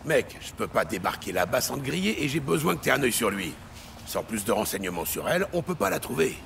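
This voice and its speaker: An actor playing a gruff, bossy, streetwise corrupt police lieutenant in an audio drama. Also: male voice, mid-range voice, big pitch swings, fairly quick, a bit bright. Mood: wary, brusque. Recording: faint city street and vehicle noise.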